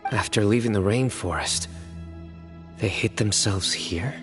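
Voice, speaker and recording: A man playing a stern, slow-spoken adventurer. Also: lowish voice, music in the background, close to an everyday voice. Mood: grave. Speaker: game character.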